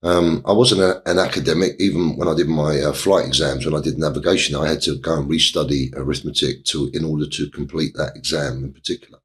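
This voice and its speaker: A middle-aged man from England, a guest in an interview, telling his story in a halting way with many pauses and restarts. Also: very deep voice, fairly even intonation. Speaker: interview guest, property developer.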